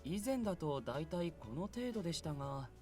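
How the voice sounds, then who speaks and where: A man's voice: fairly high pitch, sing-song, fast, darkish tone. An actor voicing a polite, blunt, matter-of-fact male being from heaven in a visual novel game. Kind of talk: Japanese visual novel voice acting. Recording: game music behind.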